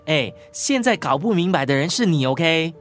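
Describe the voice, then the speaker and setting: A woman's voice: low voice, animated, normal speed, faint music behind. A woman acting a defensive, irritable spouse in a radio drama, curt and exasperated in manner. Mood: irritated, defensive. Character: irritable, defensive, evasive spouse who flees arguments.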